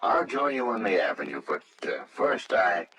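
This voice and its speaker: An actor playing a kindly gentleman who soothes and encourages, in old-time radio style on a noisy recording. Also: low-pitched, sing-song, moderate pace. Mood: kindly encouraging. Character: kindly gentleman helper reassuring a worried boy.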